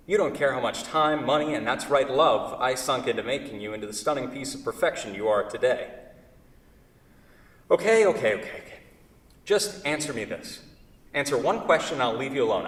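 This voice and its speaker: An actor playing an anguished, needy male woodworker in a theatrical monologue, halting and repeating himself obsessively. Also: medium pitch, expressive, a bit bright, close to an everyday voice. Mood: resentful, pleading. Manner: halting, repetitive, self-correcting dramatic delivery. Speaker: actor in a staged play reading. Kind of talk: theatrical monologue at a staged reading. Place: theatre, recorded from far off. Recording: echoey hall, distant microphone.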